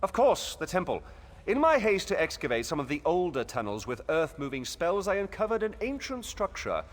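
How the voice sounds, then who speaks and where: Eccentric old wizard, wildly animated pitch. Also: male voice, moderate pace. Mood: scholarly, excited. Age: older. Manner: cheerful, pompous, blithely unconcerned lecturing. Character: eccentric, absent-minded old male wizard scholar. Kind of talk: theatrical video game dialogue. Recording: echoing long reverb.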